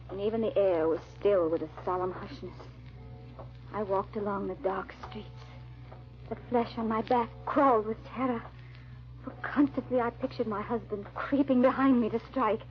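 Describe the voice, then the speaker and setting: An actress playing a frightened, grieving noblewoman hunted by her husband, in halting, melodramatic old-time radio style. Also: medium pitch, natural voice. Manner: halting, fearful, melodramatic delivery. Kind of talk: old-time radio drama acting and narration. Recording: dull, band-limited old radio recording.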